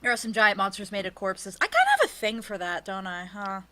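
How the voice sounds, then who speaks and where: A woman's voice: medium pitch, steady tone, fast, sharp tone. An American woman chatting casually as an interview guest, wry and often interrupting herself as she tells stories. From United States. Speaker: interview guest, audio drama writer and producer. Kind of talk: casual chat.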